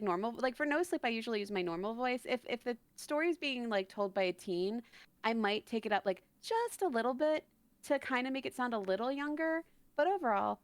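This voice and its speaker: A woman's voice: medium pitch, no room sound. A woman chatting casually on a live stream in an informal, joking and self-deprecating way. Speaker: guest narrator and voice actor.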